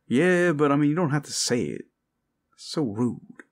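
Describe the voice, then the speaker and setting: A man's voice: fairly high pitch, big pitch swings, a little slow, voice pushed up. A man voicing a touchy, blunt vampire in a conversational, wry way for a fantasy ASMR roleplay. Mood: wounded. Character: touchy, blunt male vampire who travels with his coffin.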